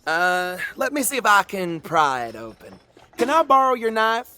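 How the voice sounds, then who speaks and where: A man's voice: sits high, sing-song, moderate pace, bright, forceful tone, exaggerated voice. A man playing a shifty, boastful frontier swindler in an audio drama, hesitating as he improvises his lies. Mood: eager, frustrated.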